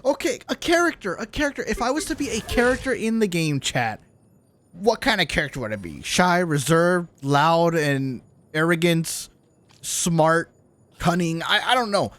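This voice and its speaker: Animated high-pitched man streaming a game. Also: normal speed. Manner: slangy, animated, swearing, teasing. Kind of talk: casual chat with viewers during gameplay. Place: live stream at a gaming setup.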